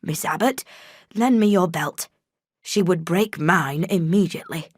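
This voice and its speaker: A woman voicing a stern but calmer nursemaid who speaks firmly. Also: on the low side, measured pace, breathy edge, no echo, clean studio sound.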